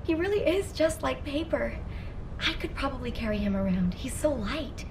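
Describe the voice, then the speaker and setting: Casting: kind, self-assured young female soldier, video game, high-pitched, noisy distant recording. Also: lively intonation, moderate pace. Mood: tender, concerned. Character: young female soldier, kind, confident, caring toward teammates.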